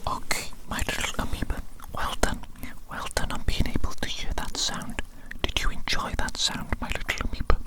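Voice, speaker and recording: A woman speaks entirely in a breathy whisper, slow and deliberate. She plays a doting, eccentric evil doctor in an ASMR role-play, addressing the listener in soothing, repetitive phrases.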